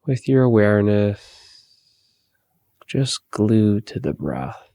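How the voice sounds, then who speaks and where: Slow, low-voiced man guiding meditation. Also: no room sound. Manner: calm, soothing, unhurried instruction with long pauses. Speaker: meditation guide. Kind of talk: guided meditation.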